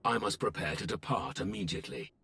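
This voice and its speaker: An actor playing a grim, proud and weary Sith commander in a video game, speaking in a solemn, commanding and deliberate way. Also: male voice, low-pitched, lively intonation, gravelly, slight background noise, full character voice. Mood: solemn, grave. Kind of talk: dramatic game voice acting. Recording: loud music behind the voice.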